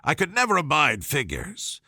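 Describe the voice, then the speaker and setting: A man voicing a gruff, rude old-fashioned Duchess. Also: fairly high pitch, huge pitch swings, normal speed, sharp tone, dry recording, heavily acted. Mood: gruff, irritable. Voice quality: hoarse growl. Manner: brusque, scolding. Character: gruff, rude, bossy grown woman, a cantankerous Duchess. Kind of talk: storybook character voice.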